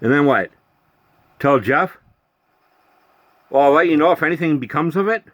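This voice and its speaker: Exasperated, higher-pitched man on a rant. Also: lively intonation, moderate pace, fairly bright tone, lo-fi sound. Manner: exasperated, profane, repeats himself with rhetorical questions. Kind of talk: accusatory rant to camera addressing an absent person.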